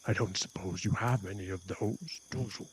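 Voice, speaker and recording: A man's voice: sits low, moderate pace, background noise, natural voice. A man voicing a cocky, possessive male werewolf bully in an ASMR boyfriend roleplay, teasing and taunting in a smug drawl. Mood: taunting menace.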